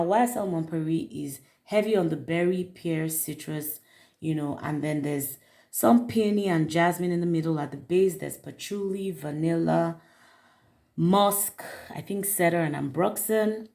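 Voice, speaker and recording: A woman hosting her perfume channel, chatting warmly to viewers in a casual review, her voice raspy from a cold. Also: sits low. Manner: friendly, chatty, direct to viewers.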